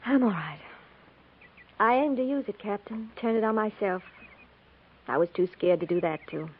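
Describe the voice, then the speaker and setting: An actress playing a shaken, plainspoken widowed frontier schoolteacher in a 1950s radio western, in a subdued, folksy manner. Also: female voice, medium pitch, expressive, normal speed, lightly acted. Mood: bleak resignation. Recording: tinny, noisy 1950s radio recording.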